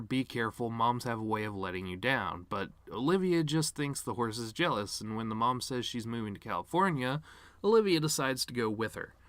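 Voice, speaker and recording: A man's voice: medium pitch, no echo, lightly acted. A man performing a dramatic monologue as a bitter, grieving middle-aged cartoon horse-man, sardonic, rambling and wounded. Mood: wry storytelling. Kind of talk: dramatic reading of a eulogy monologue.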